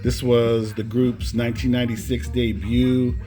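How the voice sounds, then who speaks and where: A man's voice: medium pitch, little pitch movement, moderate pace, dark tone. A man casually presenting a collection in an informal way, often ending phrases with "man". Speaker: music collector presenting cassettes. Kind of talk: casual collection showcase commentary. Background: music playing behind the voice.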